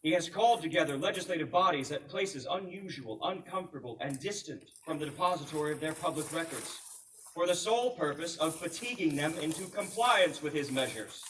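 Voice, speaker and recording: A man reenacting a resolute eighteenth-century American statesman delivers formal oratory in a high-pitched, ringing voice. His delivery is solemn and declamatory, projected out to be heard. The microphone sits some way off from him. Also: expressive, fairly quick, a bit bright, recorded from a distance, slight background noise, poor audio. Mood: indignant, resolute. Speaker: historical reenactor. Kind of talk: formal public oratory, reading a proclamation aloud.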